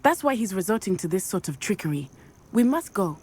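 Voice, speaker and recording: A woman's voice: medium pitch, big pitch swings, a little fast, darkish tone, breathy edge. An actress playing an earnest, determined young student witch with a precise, formal way of speaking. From Matabeleland. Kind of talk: video game voice acting.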